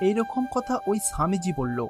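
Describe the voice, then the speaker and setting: A male storyteller with a rather high voice narrates a children's tale. He reads scripted lines in bedtime-story style, with faint music behind him. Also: very animated, fairly quick.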